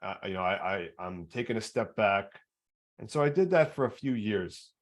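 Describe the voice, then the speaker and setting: A man with a baritone voice, hosting a podcast and telling his own story earnestly, stumbling over repeated words. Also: animated, normal speed. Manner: earnest, repetitive restarts. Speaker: podcast host. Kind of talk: personal storytelling in interview.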